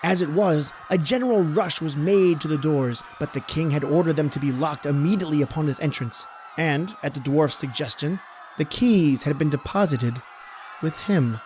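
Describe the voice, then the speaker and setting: A man giving a scripted gothic horror narration in a measured, formal storytelling manner. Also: on the high side, darkish tone, slight background noise.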